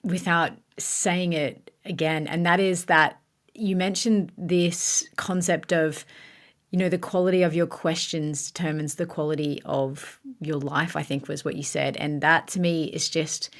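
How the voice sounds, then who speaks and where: A woman's voice: sits low, fairly even intonation, unhurried. A woman co-hosting a podcast, emphatically repeating her guest's point before moving on to a new question. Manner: emphatic, appreciative. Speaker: podcast co-host. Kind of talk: interviewer recapping and moving on.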